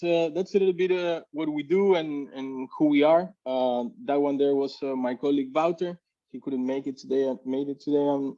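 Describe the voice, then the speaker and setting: A man's voice: mid-range voice, mellow, lo-fi sound. A man giving a casual talk at a tech meetup, hesitant, with many fillers and restarts. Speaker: community organizer presenting.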